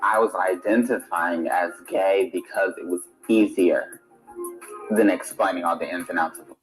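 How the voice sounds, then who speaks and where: A man's voice: deep voice, fairly even intonation, moderate pace, soft background music, poor audio. A man speaking plainly about himself in an interview clip.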